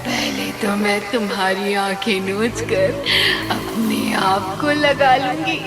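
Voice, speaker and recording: A woman's voice: medium pitch, takes it slowly, natural voice. A woman voicing a cruel blind female witch in a cartoon, taunting and cackling. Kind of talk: cartoon voice acting. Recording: loud music bed.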